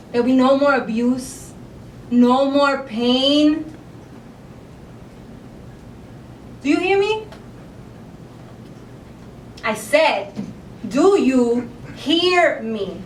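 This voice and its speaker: A woman performs a theatrical monologue as a wounded woman standing up to her abuser. Her delivery is slow, deliberate and emphatic, broken by long pauses. She is heard at a distance in an echoey room with faint rumble. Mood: defiant, commanding.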